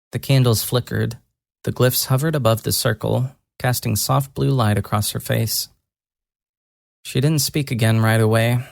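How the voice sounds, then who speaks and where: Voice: mid-range voice, creaky, no room sound, clean studio sound. A narrator reading a dramatic first-person story in a scripted style.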